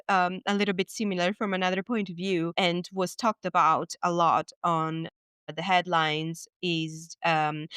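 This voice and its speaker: A woman with an Italian accent hosting a podcast, talking thoughtfully in English with pauses and small laughs. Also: fairly low pitch, a bit bright, clear, resonant tone, no echo, clean studio sound. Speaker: podcast host. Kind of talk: reflective commentary.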